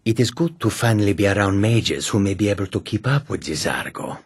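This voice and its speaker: Deep, husky, self-assured catfolk adventurer. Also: male voice, lively intonation, fairly quick, no room sound, studio quality. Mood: proud, confident. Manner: self-assured, formal, speaks of himself in third person. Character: proud, courteous male catfolk mage and warrior. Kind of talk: video game character dialogue.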